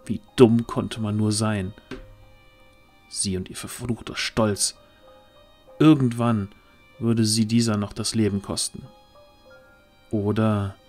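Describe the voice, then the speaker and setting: A man with a fairly low voice narrates a fantasy audio play. The delivery is scripted storytelling. Faint music plays beneath the words. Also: a little mellow.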